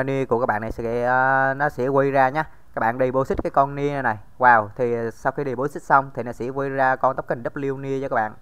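A man's voice: medium pitch, a bit bright, clean tone. A man giving a casual screen-recorded tutorial, talking straight to viewers with many fillers. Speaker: crypto tutorial host.

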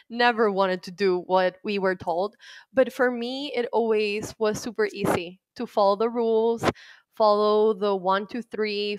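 A woman in her thirties, telling her life story as an interview guest in a reflective, soft-spoken way, pausing to search for words. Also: medium pitch, smooth, dry recording, low-quality recording. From Puerto Rico.

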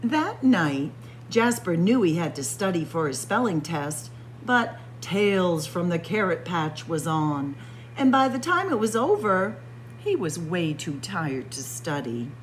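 A woman reads a spooky picture book aloud for children, her lowish voice swooping widely between hushed whispers and dramatic highs. She takes her time and savors each line. A faint hum sits under the voice. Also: sing-song, a little slow, rough recording. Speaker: children's librarian. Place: library with bookshelves.

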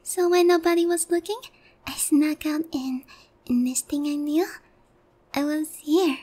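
A woman's voice: high voice, steady tone, a little slow, mellow. A woman playing a naive, sheltered young harpy noblewoman in a roleplay ASMR, polite, hesitant and earnest in a girlish way. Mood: apologetic, pleading.

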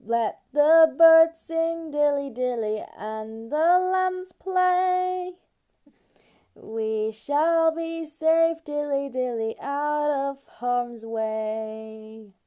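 A woman singing a traditional lullaby as a slow, simple sung verse. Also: sits high, mellow, soft background music. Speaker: singer.

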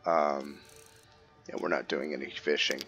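A man giving casual, low-key gameplay commentary as a channel host, thinking aloud as he plays. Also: level tone, unhurried. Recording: slightly dull sound, faint game music. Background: game music and character voices.

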